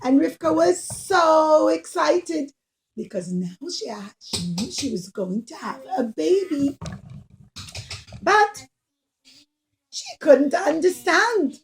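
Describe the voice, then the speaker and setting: A woman with a high voice tells a children's puppet story. She speaks in simple, expressive phrases and encourages her young listeners like a teacher. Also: slow pace, long pauses.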